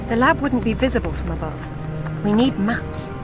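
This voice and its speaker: A woman's voice: fairly high pitch, lively intonation, moderate pace, lightly acted. A woman playing a poised, wry, determined British archaeologist adventurer in a video game cutscene. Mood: focused. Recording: loud game music behind.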